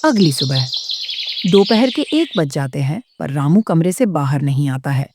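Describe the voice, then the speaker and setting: A woman's voice: sits low, big pitch swings, unhurried, noisy background. A woman narrating a horror story from a script.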